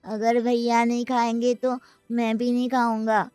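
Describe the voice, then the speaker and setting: Woman doing a little cartoon boy, high voice, innocent, loyal, earnest kid talk. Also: level tone, measured pace, dark tone, soft background music, natural voice. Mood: protective. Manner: earnest, childlike.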